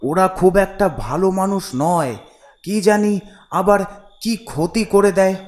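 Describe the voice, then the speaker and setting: A man's voice: high voice, unhurried. A man voicing an anxious, timid village father in a horror cartoon, with a worried, pleading and cautious manner. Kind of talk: animated horror cartoon acting.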